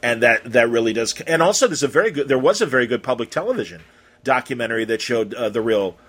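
Male interview host, slightly husky, conversational and effusive, prone to tangled, wandering phrases. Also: mid-range voice, fast, fairly bright tone. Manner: effusive, rambling, stumbling over phrases.

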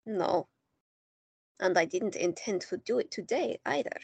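An actress playing an elderly woman in her nineties, a proud former resistance fighter, speaking firmly and warmly. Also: medium pitch, expressive, unhurried, creaky, husky, no echo, exaggerated voice. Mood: reflective. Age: older. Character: elderly woman, war veteran, proud and firm. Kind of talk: audio drama acting.

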